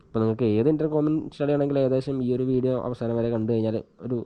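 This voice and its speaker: A man's voice: mid-range voice, fairly even intonation, very fast, no room sound, narrow, tinny audio. A man chatting casually as he gives a hands-on tutorial, thinking aloud informally while he works. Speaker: vlogger giving a hands-on tutorial. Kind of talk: casual chat while demonstrating an installation. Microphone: action camera mounted on a helmet he wears.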